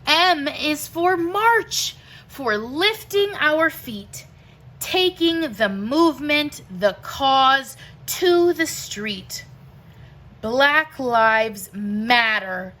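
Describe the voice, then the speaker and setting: A woman performs an expressive picture-book read-aloud in a bright, forward voice that sits a little high. She takes the rhyming verse extremely slowly. Each phrase is deliberate and emphatic, set apart by pauses.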